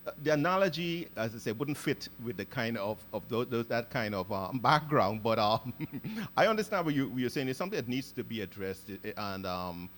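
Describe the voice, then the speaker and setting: A man from Guyana hosting an interview, speaking thoughtfully and often pausing to search for words. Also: mid-range voice, sing-song, a bit bright, slight background noise. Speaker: podcast host. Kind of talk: casual interview conversation.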